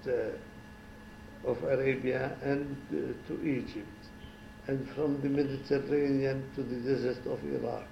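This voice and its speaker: Slow, gravelly older man, reminiscing. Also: mid-range voice, a little mellow, reverberant, highs cut off, slight background noise. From Syria. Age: 70s. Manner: slow, reflective, pauses and restarts. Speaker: former ambassador, interviewee. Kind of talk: oral history interview. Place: home.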